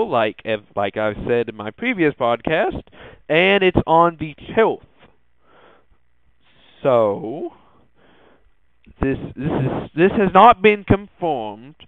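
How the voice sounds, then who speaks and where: Man, slow halting delivery, casual podcast host, thin phone-like sound. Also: medium pitch, clean tone, no room sound. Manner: halting, repeats and restarts words. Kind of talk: casual tech news commentary.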